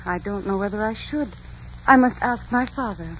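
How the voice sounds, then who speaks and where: An actress playing a gentle young Aztec princess, formal and earnest, in a 1940s radio romance. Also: female voice, mid-range voice, moderate pace, natural voice. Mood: awed, hesitant. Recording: dull, hissy old radio recording.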